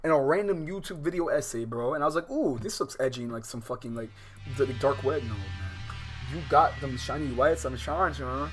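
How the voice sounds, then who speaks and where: A man's voice: medium pitch, lively intonation, a little fast. A man livestreaming a game with slangy, profane, exasperated commentary, reading some of the text aloud as he goes. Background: guitar game music.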